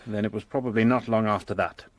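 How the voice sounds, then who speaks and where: An actor playing a brisk, self-assured police detective in a radio drama, speaking with curt authority and some condescension. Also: male voice, on the low side, fairly even intonation, no echo, close to an everyday voice.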